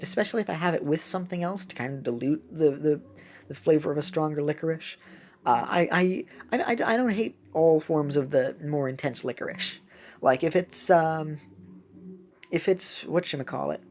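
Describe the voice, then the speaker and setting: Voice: mid-range voice, darkish tone. A young adult giving casual, playful gameplay commentary in a rambling, chatty way. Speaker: gaming video host. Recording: clearly audible background noise.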